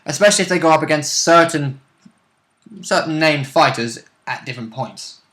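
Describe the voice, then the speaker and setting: A man's voice: a little high, normal speed, a bit bright. A man co-hosting a gaming vidcast, chatting casually and analytically about game rules.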